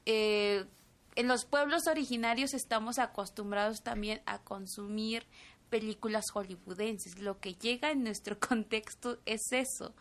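Young Tzotzil-speaking woman, higher voice. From Chiapas, Mexico. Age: 20s. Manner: thoughtful, speaks with many fillers and tag questions. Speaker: filmmaker guest. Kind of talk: interview answers.

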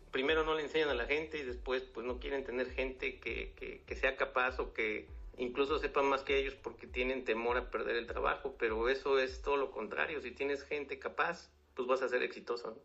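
A man's voice: mid-range voice, creaky, sharp tone. A man, a finance professional giving earnest advice as an interview guest, often repeating words. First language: Spanish. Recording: dull lo-fi audio, faint music.

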